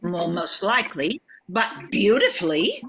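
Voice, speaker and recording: An older woman playing a highbrow, myth-loving former English teacher with a dramatic manner in an amateur radio comedy. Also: wildly swooping pitch, measured pace, a crowd in the background, poor audio. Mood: lofty, droll.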